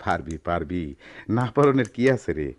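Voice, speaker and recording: A man's voice: sits low, sing-song, normal speed. An actor playing a humble middle-aged goldsmith and father with a Dhaka-area Bangladeshi accent, earnest and avuncular in a radio drama. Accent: Bangladeshi, Dhaka-area dialect. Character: patient, humble ageing master goldsmith and gentle father.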